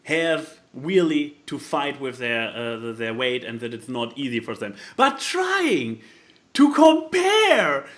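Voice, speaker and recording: Very animated, halting podcast co-host. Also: medium pitch. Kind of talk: casual chat, reading out and commenting.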